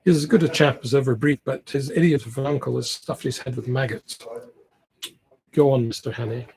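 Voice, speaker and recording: A man reading aloud the part of a genial, shrewd upper-class government gentleman from a classic novel. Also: medium pitch, a little fast, gravelly, lightly acted, poor audio. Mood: frank, opinionated.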